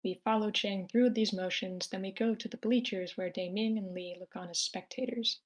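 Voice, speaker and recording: A woman's voice: mid-range voice, steady tone, normal speed. A woman reading out scripted stage directions as the narrator at a screenplay table read.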